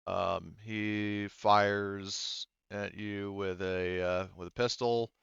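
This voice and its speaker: A man working as game master, narrating a tabletop roleplaying game casually, thinking aloud haltingly and swearing freely. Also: on the low side, level tone, creaky, a bit bright, smooth, no room sound, muffled recording.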